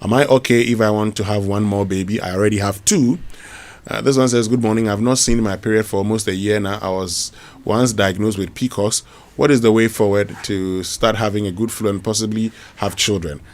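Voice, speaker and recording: Man, Ghanaian English accent, lowish voice, radio host, brisk ad reads. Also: steady tone, darkish tone. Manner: brisk promotional delivery with fillers. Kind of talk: live radio ad reads and listener questions.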